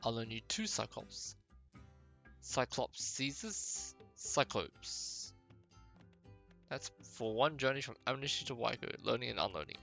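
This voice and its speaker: A man's voice: medium pitch, lively intonation, a little slow, creaky, bright, forceful tone, heavily acted. Man as female fantasy game dealer, shrewd, terse, businesslike, faint music behind. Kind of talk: amateur game dialogue voicing.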